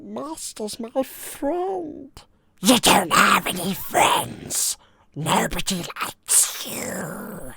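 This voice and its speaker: A man voicing a wretched, split-minded cave creature with a raspy, hissing, creaky voice, by turns wheedling and spiteful. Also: high-pitched, sing-song, sharp tone, heavily acted. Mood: frightened, pleading. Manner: slow muttering, swinging between whimper and shriek.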